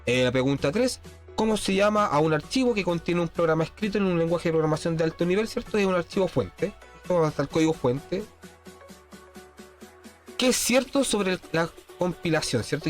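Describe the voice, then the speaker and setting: Man teaching with a slight cold. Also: on the high side. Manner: keeps asking "¿cierto?", many fillers and restarts. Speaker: programming course instructor. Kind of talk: casual screen-share tutorial, reviewing quiz answers.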